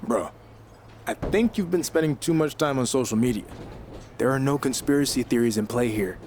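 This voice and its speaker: A man's voice: mid-range voice, normal speed, a little mellow, lightly acted. A confident young male wrestler in a video game voiced by a man, blunt and self-focused. His mid-range voice is matter-of-fact, with faint noise behind it. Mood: frank. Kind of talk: video game cutscene dialogue.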